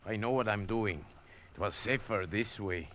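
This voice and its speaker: A man playing a smooth, menacing Central American gun buyer in a 1940s radio drama, speaking in a silky, measured way. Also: lowish voice, lightly acted. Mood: cool, menacing. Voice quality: silky, measured, quietly threatening. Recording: dull lo-fi 1940s radio broadcast.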